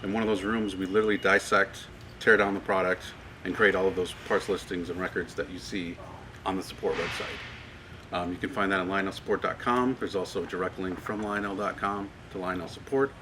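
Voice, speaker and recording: Low-voiced American man guiding a warehouse tour. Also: fairly even intonation, a little fast. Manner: friendly, explanatory, asks the group questions. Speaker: parts manager giving a tour. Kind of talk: guided tour talk to a group. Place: large warehouse with tall shelving, indoors. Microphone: far camera held by a tour member. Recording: noisy warehouse from a handheld camera.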